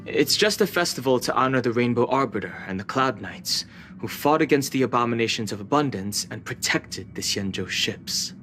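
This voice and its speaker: A man's voice: medium pitch, animated, moderate pace, fairly bright tone, natural voice. A man voicing a reserved young man who explains things in a level, matter-of-fact way in a game cutscene. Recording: game cutscene with faint music.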